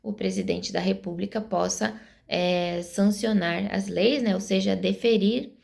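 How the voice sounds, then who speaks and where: A woman's voice: medium pitch, measured pace, gravelly, darkish tone. A Brazilian woman in her forties giving her opinions to camera in an earnest, explanatory way, thinking aloud as she talks. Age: 40s. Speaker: vlogger.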